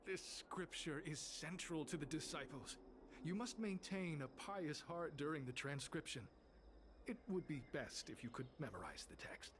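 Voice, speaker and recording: A man's voice: fairly high pitch, animated, normal speed, background noise, lightly acted. An actor playing a devout male cult disciple in a video game, preaching in a solemn, formal, sanctimonious manner. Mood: solemn, instructive.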